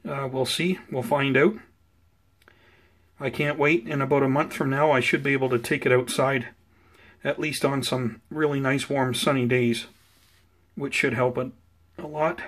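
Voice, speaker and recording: A man's voice: mid-range voice, steady tone, measured pace, pauses often. Casual, conversational man narrating a plant update. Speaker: host of a plant-growing video channel. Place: indoors at home beside potted seedlings.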